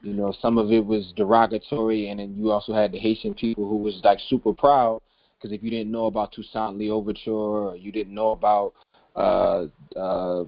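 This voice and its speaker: A man on a podcast call recalling his youth in a reflective, halting way. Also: fairly low pitch, level tone, no echo. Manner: reflective, hesitant with fillers. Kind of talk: casual discussion. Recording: dry, dull lo-fi call audio.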